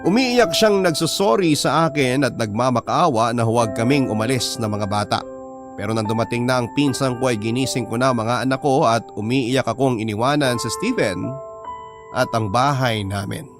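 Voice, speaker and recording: A man's voice: medium pitch, normal speed. A man with a Filipino accent narrating a personal drama story in the first person, as a storyteller reading a letter. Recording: music bed under the voice. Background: prominent background music.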